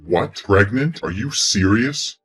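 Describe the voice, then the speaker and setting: A man's voice: fairly low pitch, lively intonation, slow, a bit bright. An actor in his twenties playing a selfish, career-focused young man who speaks in a defensive, dismissive way. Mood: shocked. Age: 20s. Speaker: reluctant boyfriend. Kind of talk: scripted relationship drama.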